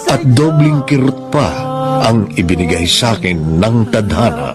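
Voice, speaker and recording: Deep-voiced heartbroken husband. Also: male voice, very animated, over loud music. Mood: sorrowful, bitter. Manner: anguished, slow dramatic delivery. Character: heartbroken, regretful middle-aged husband, abandoned. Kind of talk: Filipino radio drama acting.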